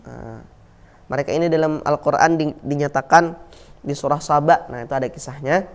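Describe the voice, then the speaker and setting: A man's voice: fairly high pitch, moderate pace, clean tone. Indonesian male teacher, lo-fi recording. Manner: repeats key words, asks and answers his own questions; frequent "nah" and "yalah" fillers. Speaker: Islamic school teacher. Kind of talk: classroom lecture on Islamic history. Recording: dull lo-fi recording.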